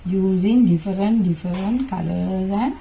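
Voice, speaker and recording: A woman preschool teacher giving a simple demonstration lesson to children in short, halting, repetitive phrases. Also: mid-range voice, gravelly, warm tone, slight background noise, poor audio.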